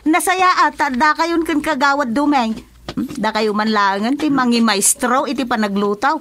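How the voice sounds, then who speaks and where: A woman's voice: sits high, normal speed, fairly bright tone, a little hiss or hum. An actress playing a bustling, chatty village woman who directs others in a Filipino radio comedy drama, with an Ilocano accent. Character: bustling village woman organizing communal cooking. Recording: busy crowd chatter behind.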